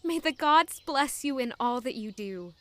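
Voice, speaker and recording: Woman playing an earnest high-voiced young lady-in-waiting. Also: lively intonation, measured pace, fairly bright tone. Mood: bright, eager. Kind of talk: audio drama dialogue and inner monologue.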